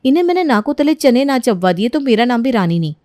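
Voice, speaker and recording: A fairly high voice of a young bride voiced by a woman in a cartoon moral story. She is proud, defiant and sharp-tongued, on a dry, close recording. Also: big pitch swings, fast talker, no room sound. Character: proud, defiant young bride who suspects her in-laws.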